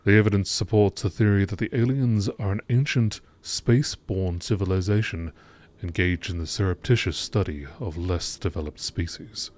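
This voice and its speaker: A man reading scripted science-fiction lore entries as a narrator, in a measured, formal nature documentary style. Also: sits low, a little mellow.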